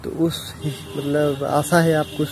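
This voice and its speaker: Young man, from Assam, monotone, hesitant, outdoor phone recording, noisy. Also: on the high side, slow pace. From Assam, India. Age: young adult. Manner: hesitant, repeating himself. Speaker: fan sending a video message. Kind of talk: selfie video message. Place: outdoors under a shed roof. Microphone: phone camera at arm's length. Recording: phone video with outdoor noise.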